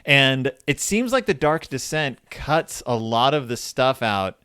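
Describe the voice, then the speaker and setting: A male host talks through a story in a casual, conversational way. His pitch moves around a lot as he retells and reads passages, and the sound is dry and close with no room to it. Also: expressive, a little slow, bright, forceful tone, smooth, no echo. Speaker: podcast host discussing horror fiction.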